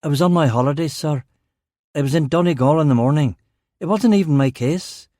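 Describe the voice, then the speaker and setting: A man's voice: fairly high pitch, a little fast, a little mellow, no room sound, studio quality, lightly acted. A man voicing a rattled police detective in an audiobook, defensive and deferential as he answers his superiors. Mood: defensive, flustered. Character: male detective narrator speaking, rattled, sweary, deferential to superiors. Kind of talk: audiobook character dialogue.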